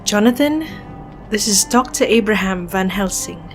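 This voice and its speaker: A determined Victorian lady investigator, played by an actress in an audio drama. Her speech is formal and earnest, at a medium female pitch, carrying grief and resolve, with faint music behind. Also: expressive, normal speed, close to an everyday voice. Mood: polite concern.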